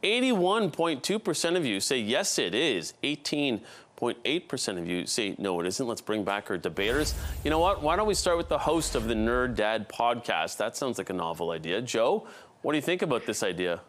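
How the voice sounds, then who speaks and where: A man anchoring a news debate in a relaxed way, laughing along with guests. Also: mid-range voice, moderate pace.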